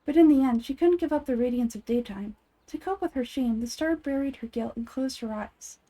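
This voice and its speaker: A woman voicing a gentle, child-like goddess of wisdom in an anime game fan dub, measured, thoughtful and earnest. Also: high voice, poor audio. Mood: solemn, gentle.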